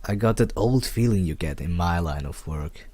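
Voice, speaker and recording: A man reading a first-person noir detective story aloud in a measured, deliberate way. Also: sits low, expressive, darkish tone, no room sound. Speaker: amateur story reader.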